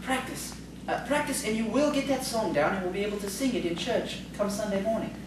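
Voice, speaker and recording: A woman's voice: mid-range voice, brisk, natural voice. A woman playing a polite, timid, bookish male schoolteacher in audio theatre, hesitant and prim. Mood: gently encouraging. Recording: distant, echoey, noisy stage recording.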